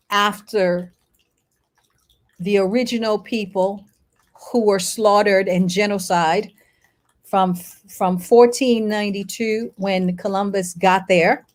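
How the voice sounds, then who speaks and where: A middle-aged woman born in Haiti and raised in the United States, explaining history as an interview guest in emphatic, short phrases broken by pauses. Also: medium pitch. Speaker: guest speaker, lawyer and activist.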